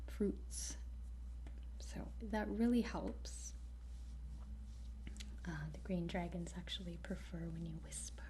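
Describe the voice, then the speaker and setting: A woman speaking in a soft, breathy whisper, gently and hesitantly explaining things in an improvised ASMR roleplay, with frequent pauses. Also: fairly low pitch, a little hiss or hum. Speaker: ASMR performer playing a dragon training guide.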